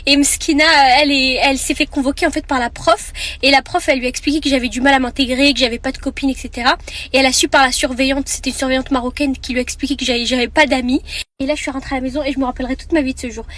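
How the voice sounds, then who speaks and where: A woman in her twenties from France, telling a personal story in a casual, animated way and sprinkling in Arabic phrases. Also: sits high. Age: 20s. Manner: animated, fast, chatty, mixes Arabic phrases. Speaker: content creator.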